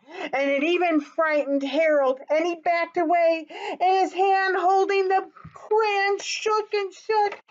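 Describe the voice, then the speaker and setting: A woman presenting a storytime for young children, talking to them directly in an animated way as she reads aloud. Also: high-pitched, highs cut off.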